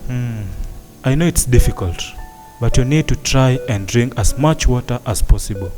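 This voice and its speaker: A man playing a patient, concerned hospital doctor in a radio drama, speaking in a measured, reassuring way. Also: mid-range voice, lively intonation, over music, lightly acted.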